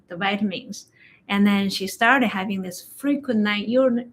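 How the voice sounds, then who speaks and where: A woman acupuncturist, a non-native English speaker whose first language is Chinese, chatting in a slow, halting way about health, often telling stories about patients. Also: on the high side. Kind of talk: casual health chat. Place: livestream conversation.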